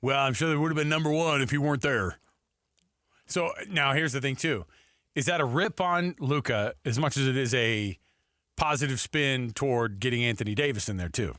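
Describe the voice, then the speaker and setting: A male sports radio host chatting casually on air. His voice is forceful and bright, recorded very dry and close. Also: mid-range voice, normal speed, no echo.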